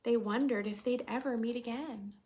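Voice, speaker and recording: A woman's voice: fairly high pitch, fairly even intonation, normal speed, darkish tone. A woman reading a storybook aloud to young children, expressively, with chatty, questioning asides to her listeners. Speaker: children's story reader and host.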